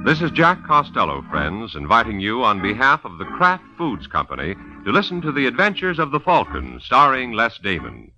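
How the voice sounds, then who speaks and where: A man's voice: mid-range voice, huge pitch swings, normal speed, few pauses, sharp tone, faint music behind. A man announcing and narrating in polished 1950s radio style, as salesman and storyteller. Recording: muffled 1950s radio recording.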